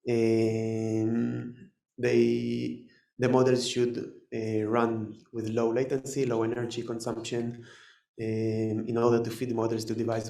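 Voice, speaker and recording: A man with an Israeli accent giving a live technical talk, halting and full of fillers and restarts. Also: mid-range voice, creaky, mellow. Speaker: tech presenter. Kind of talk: live conference talk with demo.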